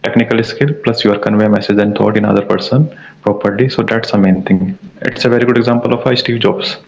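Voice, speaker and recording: A young Indian man whose first language is Hindi, speaking English in a halting way, repeating words as he searches for phrasing. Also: on the low side, steady tone, gravelly. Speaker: English learner in a practice conversation. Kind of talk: casual practice conversation. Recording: dull lo-fi audio.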